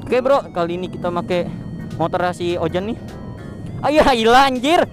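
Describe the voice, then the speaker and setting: A young man with a high voice talks in quick, slangy bursts, full of exclamations. He speaks into a helmet intercom while riding through the city at night, with faint music underneath. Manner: excitable, slangy, exclaims often. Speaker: motovlogger. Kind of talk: casual riding vlog chat. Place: on a motorbike on city streets at night.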